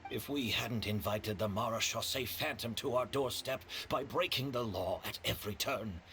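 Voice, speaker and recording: A man playing a remorseful, courteous gentleman in a video game, speaking in a measured, earnest way. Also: fairly low pitch, slight background noise. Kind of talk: dramatic game voice acting.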